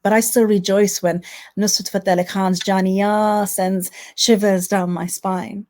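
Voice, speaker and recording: A woman's voice: mid-range voice, little pitch movement, unhurried, a little mellow. A woman, a poet who chats hesitantly with lots of "um"s between pieces, then reads her own poetry with impassioned rhythm. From Manchester, England. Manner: hesitant, filler-heavy chat between poems. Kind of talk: spoken word poetry reading with chat to an online audience.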